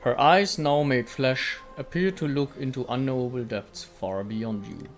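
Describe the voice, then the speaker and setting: A man's voice: mid-range voice, unhurried. Male gaming host, native German, walkthrough commentary and read-aloud narration, light music bed. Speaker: gaming YouTuber.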